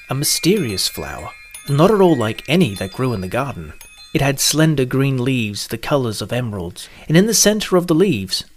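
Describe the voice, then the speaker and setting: Gentle male storybook narrator, middle-pitched voice over soft music.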